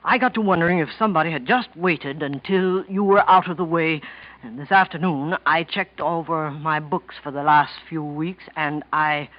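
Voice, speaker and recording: An actor playing a polite, scheming bookkeeper who feigns sincerity in a 1940s radio drama. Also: male voice, a little high, moderate pace, lightly acted. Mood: earnest, confiding. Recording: dull vintage 1940s radio recording.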